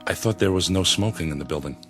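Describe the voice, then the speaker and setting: Low-voiced, meek office worker. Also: male voice, quick pace, a bit bright, faint music behind. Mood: nervous, deferential. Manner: hesitant, deferential, polite. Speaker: actor. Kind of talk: old-time radio style drama acting.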